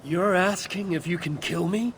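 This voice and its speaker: An actor playing a guarded, self-reliant adventurer in a video game, with a blunt, exasperated delivery. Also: male voice, sits high, normal speed, in a large hall, a little hiss or hum. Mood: wary, questioning. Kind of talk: video game character acting. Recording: loud music behind dialogue.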